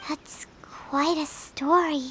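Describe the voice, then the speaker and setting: A woman voicing a tiny, naive, curious fairy companion in a video game, with a squeaky childlike voice and simple speech. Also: steady tone, takes it slowly, bright, forceful tone, a little hiss or hum, heavily acted. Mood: puzzled, subdued. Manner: simple, refers to self by name. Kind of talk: scripted video game dialogue.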